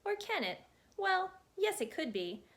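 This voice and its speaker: A woman voicing an excitable storybook chatterbox man who rambles on breathlessly and keeps interrupting himself. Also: very high-pitched, measured pace, pitched above the performer's own voice, full character voice. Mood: chatty, rambling.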